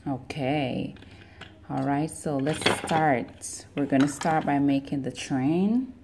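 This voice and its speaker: A woman narrating a toy unboxing, gently explaining each step as she builds a set at a table. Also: extremely low pitch, takes it slowly, vocal fry, background noise. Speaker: toy reviewer. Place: indoors at a table, voice behind the camera.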